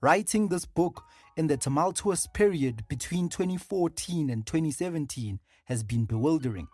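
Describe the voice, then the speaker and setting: A narrator reading an audiobook in a measured, deliberate and formal way. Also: mid-range voice, animated, darkish tone, no room sound, soft background music.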